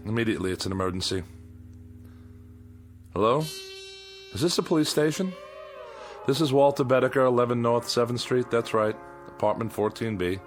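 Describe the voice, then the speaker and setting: An actor playing a bored, cruel immortal husband in a mid-century American TV drama, with a theatrical, scornful delivery and an American accent. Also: male voice, mid-range voice, natural voice. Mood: brisk, matter-of-fact. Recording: vintage TV soundtrack with music.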